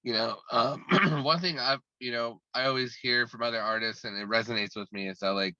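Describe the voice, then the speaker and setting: A man's voice: mid-range voice, fairly quick, pushed and bright, no room sound, muffled recording. A man talking casually as an interview guest, rambling and restarting his sentences often. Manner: talkative and rambling, many restarts. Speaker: guest musician.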